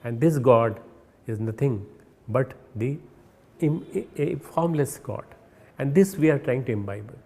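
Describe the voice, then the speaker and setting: A man with an Indian accent giving a recorded spiritual message, earnest and instructive, often pausing and restarting words. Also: medium pitch, expressive, a little mellow. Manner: hesitant, repeats and restarts words. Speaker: spiritual leader.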